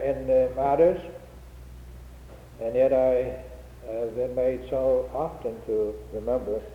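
A man preaching an unscripted sermon with a head cold, speaking in a humble, halting way with frequent restarts. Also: medium pitch. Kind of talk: old-fashioned unscripted sermon. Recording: tinny lo-fi recording in an echoey hall.

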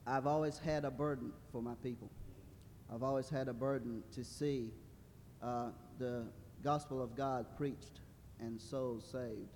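Man, halting church testimony. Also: dark tone. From Brazil. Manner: hesitant, many fillers, wry humor. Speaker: mission trip translator. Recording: reverberant hall with low rumble.

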